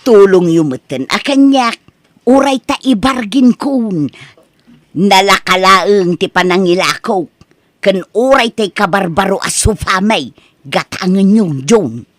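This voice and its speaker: A woman's voice: medium pitch, lively intonation, a little slow, no echo, close to an everyday voice. A woman playing a stubborn, gullible middle-aged mother with a wheedling, exclamatory delivery in a radio-style comedy drama.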